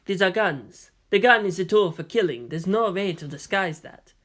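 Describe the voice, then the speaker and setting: A man's voice: sits high, lively intonation, moderate pace, a bit bright. A man voicing an uneasy, sceptical army sergeant in his forties, questioning and reflective, in a storybook reading. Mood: troubled.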